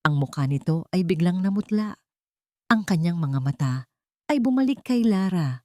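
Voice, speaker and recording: Low-pitched woman narrating a dramatic story. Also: expressive, normal speed, warm tone, no echo. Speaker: audiobook narrator.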